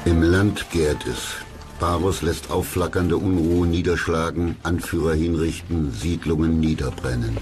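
A man reading scripted documentary narration in a measured, dramatic storytelling way. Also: extremely low pitch, fairly even intonation. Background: music behind the voice.

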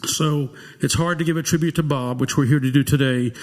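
A man's voice: a little high, fairly even intonation, brisk, darkish tone. An older man giving an earnest personal memorial tribute at a lectern microphone, repeating phrases for emphasis. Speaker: eulogy speaker. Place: church sanctuary, indoors. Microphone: gooseneck lectern microphone. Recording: reverberant hall.

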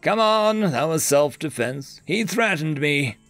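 Man doing a cocky space bounty hunter, smug and joking, lively voice with some gravel. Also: a little high, a little slow, no room sound, soft background music, voice pushed up.

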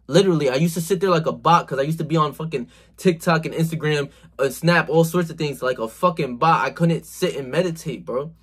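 Young man, early twenties, husky voice, fairly high pitch, slangy motivational vlog, bedroom phone recording. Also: fairly quick, fairly bright tone. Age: 20s. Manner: slangy, emphatic, lots of swearing and "bro"; gestures a lot while talking. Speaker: self-improvement vlogger. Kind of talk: casual motivational talk to camera. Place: small bedroom indoors.

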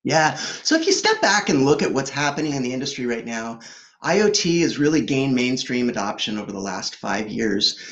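A man's voice: medium pitch, sing-song, normal speed, fairly bright tone, dull audio. A man in his forties on a video call from a home office, explaining products in a fluent, upbeat conversational way with frequent fillers. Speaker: product executive guest. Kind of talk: conversational tech keynote. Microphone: video call webcam.

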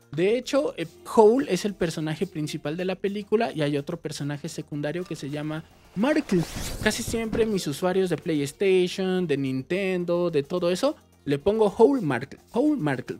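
Chatty Mexican man in his thirties. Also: high voice. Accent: Mexican Spanish. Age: 30s. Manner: chatty, animated, waves arms while talking. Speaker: YouTube gaming channel host. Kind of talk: casual Q&A vlog. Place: small indoor room with game shelves.

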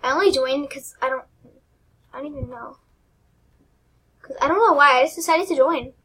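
A young woman hosting her own game channel, chatting casually and rambling with giggles while she plays, her voice squeaky and often dropping to a mutter. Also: normal speed, a bit bright. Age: teens. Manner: rambling, giggly, self-deprecating. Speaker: gaming channel host. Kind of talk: casual gameplay commentary.

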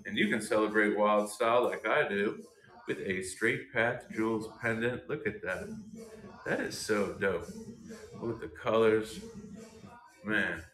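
A man in his thirties hosts a casual product showcase, full of excited, slangy enthusiasm. His voice sits fairly low. The sound of the small indoor room echoes around him. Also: measured pace, pauses often.

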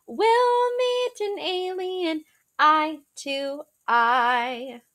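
A young woman reading a children's picture book aloud in an animated, drawn-out, singsong way, like a teacher talking to small children. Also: sits high, soft background music. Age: young adult. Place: small living room, seated in an armchair indoors.

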